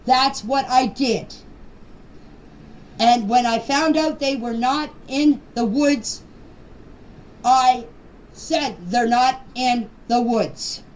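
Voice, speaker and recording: A woman's voice: on the high side, very slow, long pauses, a little mellow. An older woman in her sixties or beyond speaking plainly and bluntly in an unscripted personal voice message, with an emphatic manner. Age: 60s or older. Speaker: grandmother giving her personal account. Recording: distant, noisy amateur voice clip.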